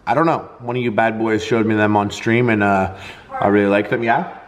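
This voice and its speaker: A man's voice: lowish voice, steady tone, brisk, reverberant, a little hiss or hum. A man chatting casually to his viewers as a vlogger, with a jokey, slangy, profane way of talking.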